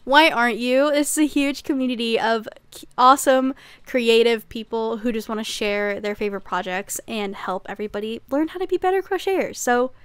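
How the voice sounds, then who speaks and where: A young woman in her twenties chatting casually about crafts as a channel host, upbeat and chatty, a little hoarse from losing her voice. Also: a little high, a little fast, a bit bright, no echo. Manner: chatty, upbeat, often ends lines with "okay?". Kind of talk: casual vlog chat.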